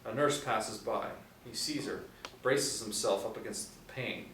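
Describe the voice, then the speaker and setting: A man's voice: lowish voice, unhurried, a bit bright. A man in his forties reading stage directions as scripted narration at a table read. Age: 40s. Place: small indoor room. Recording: echoey room, distant mic, background noise.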